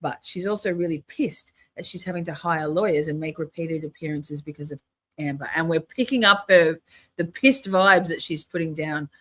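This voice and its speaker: A woman's voice: low voice, normal speed, a bit bright. An older Australian woman with a mixed accent, chatting casually to her viewers while hosting a live reaction stream. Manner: chatty, talks to chat.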